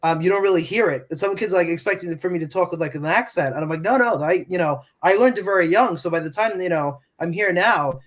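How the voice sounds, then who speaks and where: A man's voice: high voice, quick pace, hardly any pauses, a bit bright. A man in his twenties who grew up in New York with Portuguese as his first language, a middle school Spanish teacher answering interview questions earnestly with many fillers and restarts. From New York, United States.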